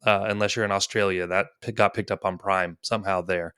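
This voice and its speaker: A man's voice: low voice, little pitch movement, fairly quick, sharp tone, clean tone, no room sound, studio quality. A man in his thirties hosting a casual gaming podcast, rambling with lots of fillers and easy laughs. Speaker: podcast host.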